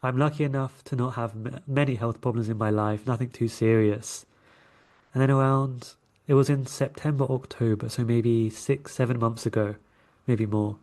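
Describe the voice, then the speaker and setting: A man's voice: mid-range voice, fairly even intonation, moderate pace, darkish tone. Man with a London accent. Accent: London English. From London, England. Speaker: interview guest, coach and energy healer. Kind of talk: conversational storytelling in an interview.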